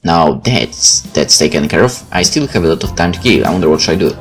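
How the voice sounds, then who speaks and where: A man with an animated, expressive delivery reads a visual novel aloud. He mixes narration with casual let's player asides, and game music sits behind his voice. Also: low voice, fast.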